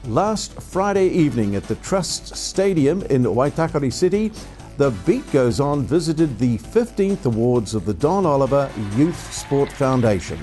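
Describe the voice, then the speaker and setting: A man's voice: medium pitch, sing-song, measured pace, few pauses, mellow, soft background music. A man hosting a show, asking upbeat leading questions of his guest. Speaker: radio show host. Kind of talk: casual interview chat.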